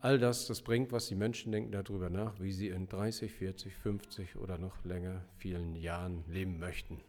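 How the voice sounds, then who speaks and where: A man's voice: low-pitched, steady tone, moderate pace, darkish tone. A man giving an emphatic interview opinion, building it with repetitions and rhetorical questions. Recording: very reverberant room.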